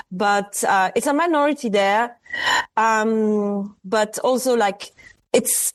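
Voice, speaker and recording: A woman in her forties from Austria with an Austrian German accent, a German native speaker, reflecting thoughtfully as an artist guest, hesitant with many fillers and restarts. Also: on the high side. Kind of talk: reflective interview conversation.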